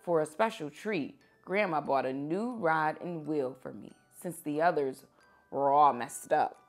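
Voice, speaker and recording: A woman reading children's books aloud like a teacher at story time, with expressive, animated phrasing for young listeners. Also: low voice, unhurried. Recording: music behind the voice.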